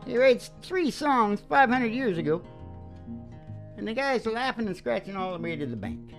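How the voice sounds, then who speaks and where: A woman's voice: a little high, sing-song, normal speed. A woman hosting a casual music and talk show, rambling in a folksy, teasing, opinionated way. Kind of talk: casual rambling chat between songs.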